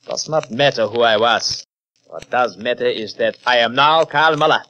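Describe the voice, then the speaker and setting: An actor playing a megalomaniac, vengeful scientist in a grandiose, menacing style of old radio horror. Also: male voice, mid-range voice, moderate pace, sharp tone, muffled recording. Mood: cold, commanding. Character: megalomaniac vengeful male scientist revived in a stolen body. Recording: old radio recording, faint noise.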